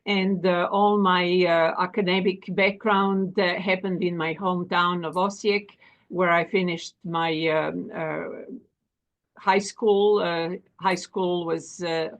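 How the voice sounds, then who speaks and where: A middle-aged woman from Croatia, a professor being interviewed, speaking with many pauses and fillers. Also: fairly low pitch, fairly even intonation, lo-fi sound. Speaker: guest, professor and dean. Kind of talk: interview conversation.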